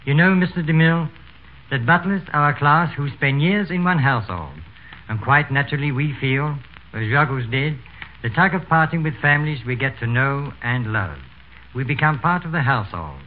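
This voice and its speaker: A man's voice: on the high side, lively intonation, moderate pace, slight background noise. A man from England with an English accent, giving a formal, courteous scripted radio talk as a butler. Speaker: butler, guest speaker. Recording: tinny phone-like 1930s radio sound.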